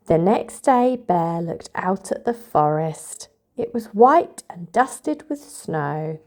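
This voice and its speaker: A woman reading a picture book aloud to toddlers in an expressive, sing-song storytelling manner. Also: fairly low pitch, slow. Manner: expressive, sing-song, addressing young children.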